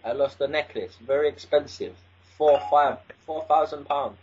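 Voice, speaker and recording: A man's voice: fairly high pitch, normal speed, husky. A man playing an angry, entitled, foul-mouthed young mayor's son on a prank phone call. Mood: insistent. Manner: swearing, threatening, indignant. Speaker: prank caller.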